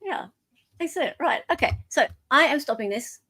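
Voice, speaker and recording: An Australian woman hosting a casual live show, excitable and muttering to herself as she searches through documents. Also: high-pitched, moderate pace. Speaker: podcast host. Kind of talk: casual live chat while reading documents.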